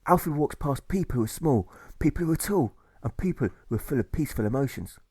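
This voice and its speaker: A man reading a scripted rhyming audiobook in rhythmic, measured phrases, landing on each rhyme. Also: a little high, expressive, mellow, breathy edge, no echo. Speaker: author reading own audiobook.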